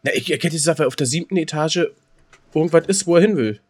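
Husky, higher-pitched man. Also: fast. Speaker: podcast co-host. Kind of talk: casual chat.

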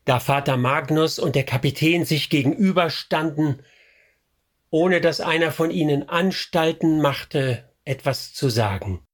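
A man narrating a radio drama in the first person, measured and reflective. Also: fairly high pitch. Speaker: narrator character.

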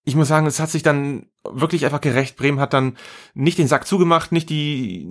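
A man co-hosting a podcast, slightly hoarse, talking in a lively, colloquial way and laughing easily. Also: fairly high pitch, a little fast. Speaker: podcast co-host. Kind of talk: casual chat.

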